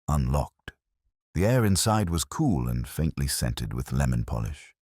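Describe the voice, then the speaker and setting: A man's voice: very deep voice, very animated, measured pace, darkish tone, no room sound, studio quality. A man narrating a scripted mystery story as an audiobook reader.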